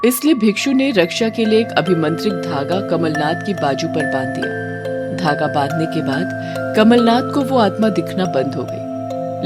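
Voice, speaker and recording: A woman narrating a scripted horror story in a dramatic, suspenseful way, slowing for emphasis. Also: lowish voice. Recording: loud music under narration.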